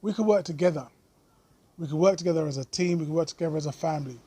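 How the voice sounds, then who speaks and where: Brief: male vlogger, slightly high and husky voice, earnest unscripted commentary. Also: dark tone. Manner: earnest, thoughtful, with frequent pauses and fillers.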